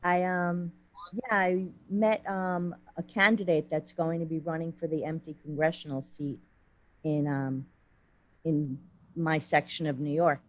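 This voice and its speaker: A woman chatting casually on a group video call, hesitant with many ums and quick to laugh. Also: low-pitched, little pitch movement, lo-fi sound. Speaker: discussion group member. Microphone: computer microphone on a video call.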